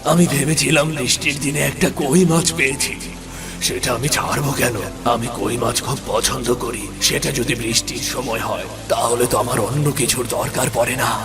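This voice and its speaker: A man's voice: mid-range voice, steady tone, moderate pace, close to an everyday voice. A man playing a cursed young prince turned talking fish, pleading and regretful, in a children's moral-story cartoon. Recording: music behind the voice.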